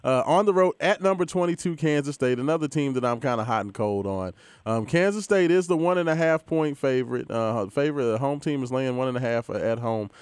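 A man hosting a casual sports betting chat, easygoing and quick to laugh, hand-offs loose with fillers. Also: mid-range voice, animated, a little mellow, clear, resonant tone, dry recording. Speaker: host.

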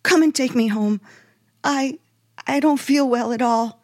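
A woman's voice: high voice, a little slow, darkish tone. An actress playing a frightened young woman at gunpoint, hesitant and pleading, in 1950s radio suspense style. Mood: shaken, subdued. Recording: 1950s radio broadcast, music behind.

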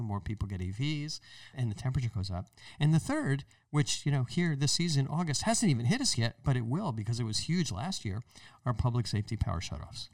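An American man explains things in a conversational, radio-style talk. His mid-pitched voice swings widely for emphasis. He sounds a little distant from the microphone, in a dry space with no echo. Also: a little mellow. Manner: emphatic, conversational, acts out imagined remarks. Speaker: podcast host.

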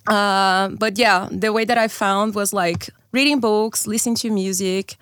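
A woman's voice: medium pitch, steady tone, unhurried, fairly bright tone, clear, resonant tone, no room sound, studio quality. Laughing woman, searching for words. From Brazil. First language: Brazilian Portuguese. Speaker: copywriter, podcast guest. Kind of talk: casual podcast chat.

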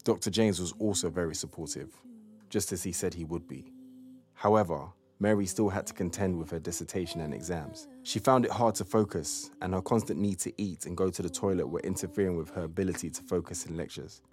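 Male narrator, deep register, scripted storytelling for audio drama. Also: brisk, a little mellow.

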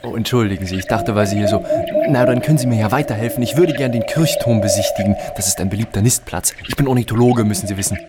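An actor playing a bookish, fussy, excitable ornithologist in a radio drama, with a pedantic, polite and hesitant way of talking. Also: male voice, lowish voice, fast talker, hardly any pauses, noisy background, natural voice. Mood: polite, eager. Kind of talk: German audio drama acting.

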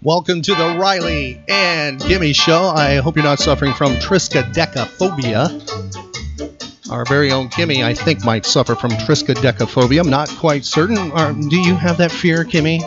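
A man's voice: a little high, moderate pace, highs cut off, over loud music. A man hosting a chatty trivia podcast in a playful, teasing manner. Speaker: podcast host.

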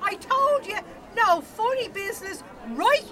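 Radio drama: bolshie Dublin checker, piercingly high, suspicious and blunt, busy crowd around. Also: female voice, animated, a little slow, bright, forceful tone, full character voice. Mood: suspicious, threatening. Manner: blunt, threatening. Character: suspicious, combative Dublin woman bingo checker.

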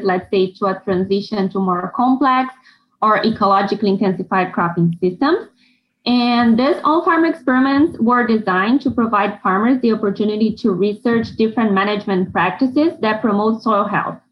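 Young woman from Brazil, muffled audio. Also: a little high. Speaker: PhD student guest. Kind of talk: self-introduction in interview.

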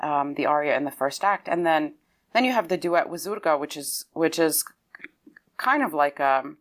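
Low-voiced Lebanese-born woman, thoughtful. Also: expressive, moderate pace. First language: Arabic. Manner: thoughtful, restarts often, laughs easily. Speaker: opera singer, guest. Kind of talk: conversational interview.

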